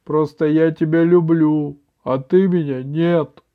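A man's voice: high-pitched, expressive, unhurried, narrow, tinny audio, pitched above the performer's own voice. A man voicing a bossy, doting old grandmother in a children's story, with an emphatic, plaintive, scolding delivery. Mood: tearful, hurt.

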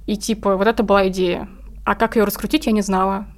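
A woman's voice: medium pitch, level tone, quick pace. A woman talking casually and informally as an interview guest, explaining things in a conversational way. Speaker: interview guest, comic artist.

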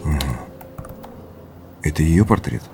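A man's voice: extremely low pitch, lively intonation, takes it slowly, vocal fry, a little mellow, full character voice. An actor playing an earnest, reflective young man researching his family's past in an audio drama, speaking thoughtfully and a bit hesitantly. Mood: pensive, absorbed.

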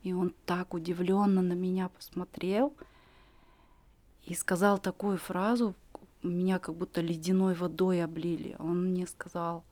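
A woman in her forties telling her personal story as a podcast guest, earnest and reflective, pausing often with fillers. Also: lowish voice, flat delivery, a little mellow.

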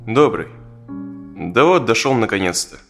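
An actor playing a conflicted married dentist of forty in an audio drama, confiding hesitantly in natural, conversational speech. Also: male voice, lowish voice, animated, a little slow, a bit bright. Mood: uneasy, earnest. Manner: hesitant, confessional. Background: plucked guitar music.

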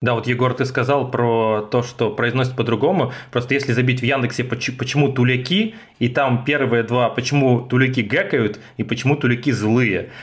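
A man's voice: medium pitch, fairly even intonation, a little fast, hardly any pauses. A man hosting a casual chat, asking his guest questions and adding joking asides about himself. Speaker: podcast host.

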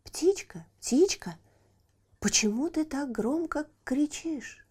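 A woman's voice: high voice, lively intonation, slow pace, a little mellow, voice pushed up. A woman voicing a kind, brave and eager young boy in an audio fairy tale, earnest and exclaiming. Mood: concerned, eager.

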